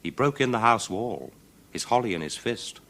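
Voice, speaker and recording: A man's voice: on the low side, lively intonation, normal speed, a bit bright. A man narrating a children's folk tale in a theatrical, declamatory and rhythmic way. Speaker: storyteller. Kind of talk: dramatic children's storybook narration.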